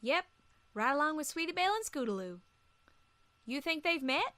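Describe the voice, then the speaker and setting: A woman's voice: high-pitched, sing-song, normal speed, pushed and bright, no echo, exaggerated voice. A woman voicing a folksy, plain-spoken young female farm pony with a Southern US accent in an audio story. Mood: easygoing, warm. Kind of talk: acted audio story dialogue.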